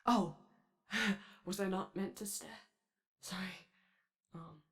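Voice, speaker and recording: A woman voicing an anxious, gentle male animatronic daycare robot in ASMR roleplay, with a strained, raspy voice and hesitant, apologetic speech. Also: medium pitch, steady tone, slow, close to an everyday voice. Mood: awkward, gentle. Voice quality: strained, raspy, creaky, as if voice lost from yelling. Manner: hesitant, nervous, stammering, apologetic. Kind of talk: ASMR roleplay comfort audio.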